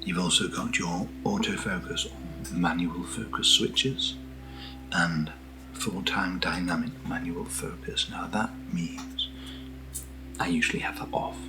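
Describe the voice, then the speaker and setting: A British man speaking in a soft, breathy near-whisper as he hosts a casual ASMR video, gentle and unhurried, close to the microphone. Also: low voice, fairly even intonation, over music, rough recording. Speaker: ASMR video host reviewing camera gear. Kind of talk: soft-spoken ASMR, casual unboxing chat.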